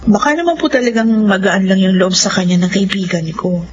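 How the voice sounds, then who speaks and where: A woman's voice: mid-range voice, expressive, a little fast, a little hiss or hum, lightly acted. A woman playing a caring, respectful young woman with a Filipino accent in a radio drama. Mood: polite, uneasy. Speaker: drama voice actor. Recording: dull audio, humming, music underneath.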